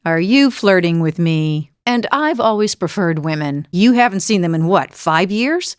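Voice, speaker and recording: A woman's voice: on the low side, animated, unhurried, clean tone, no room sound, studio quality. A woman playing a weathered, good-humored mercenary in a video game, speaking in a wry, plainspoken, reflective way. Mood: teasing, animated. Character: weathered, world-weary but good-humored female mercenary seeking forgiveness. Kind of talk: scripted video game character dialogue. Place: dry studio voice recording.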